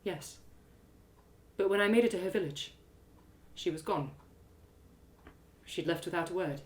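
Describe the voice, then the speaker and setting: A woman voicing a solemn, gentle elf mentor in a one-woman audio drama, speaking in a measured, earnest, instructive way. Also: lowish voice, close to an everyday voice. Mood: sad.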